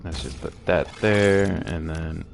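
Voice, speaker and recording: A hoarse man with a sore throat casually walking through game builds, rambling with many fillers. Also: sits low, very slow, soft background music. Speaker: game streamer explaining builds.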